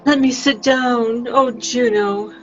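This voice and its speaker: An amateur actress reading a faint, remorseful queen in a Shakespeare play, with drawn-out, sighing delivery. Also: fairly high pitch, fairly even intonation. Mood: faint, pleading. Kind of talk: amateur Shakespeare read-aloud. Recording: dull lo-fi video call, faint music.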